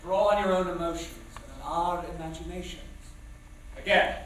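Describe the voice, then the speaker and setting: An actor playing an exuberant, bossy young theatre coach, speaking in a florid, declamatory, formal stage manner. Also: male voice, fairly high pitch, fairly even intonation, moderate pace, fairly bright tone, natural voice. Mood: exasperated coaching. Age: young adult. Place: theatre stage in live production. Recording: echoey theatre stage, distant mic, faint hiss.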